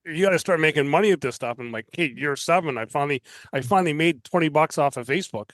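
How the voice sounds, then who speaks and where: Podcast guest, male, Canadian accent, slightly high register, close dry sound, relaxed conversational delivery. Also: quick pace, no room sound. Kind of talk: casual chat.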